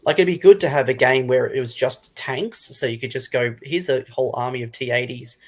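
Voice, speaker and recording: A man speaks as a retailer guest in a casual hobby discussion. His voice sits at a middling pitch and has a noticeable creak through it. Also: a little fast.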